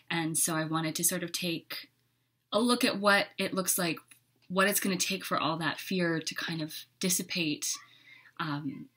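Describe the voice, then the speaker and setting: A woman's voice: low voice, sharp tone, breathy edge. A woman in her thirties talking reflectively to camera as a playwright. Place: small bedroom, indoors.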